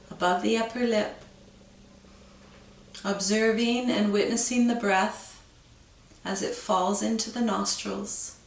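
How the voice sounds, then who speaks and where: A woman teaching yoga, guiding a breathing meditation with slow, calm, soothing instructions. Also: mid-range voice, creaky, roomy sound, far from the mic, low-quality recording.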